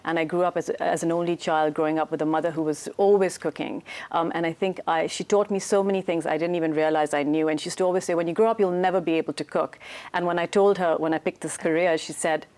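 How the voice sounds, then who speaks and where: A woman's voice: low voice, fairly even intonation, fast, darkish tone. A woman from Pakistan, a cookbook author, talking chattily about cooking as a guest on a TV show. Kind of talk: TV studio interview and cooking segment.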